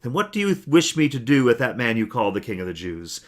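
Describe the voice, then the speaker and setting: A man's voice: mid-range voice, big pitch swings, fairly quick, close to an everyday voice. A man reading scripture aloud and voicing Pilate, a Roman governor, with raised, pressing questions. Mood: questioning.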